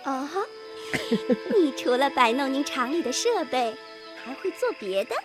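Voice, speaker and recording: Affectionate young bride, high girlish pitch, tender and teasing, radio drama, clear music behind. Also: sing-song, a little slow. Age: young adult. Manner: tender, playful. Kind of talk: Chinese radio drama acting.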